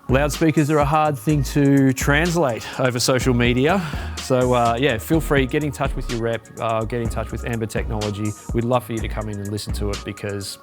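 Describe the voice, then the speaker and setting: An Australian man, a business development manager, giving a conversational product walkthrough with lots of filler words and restarts. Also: medium pitch. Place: demo facility.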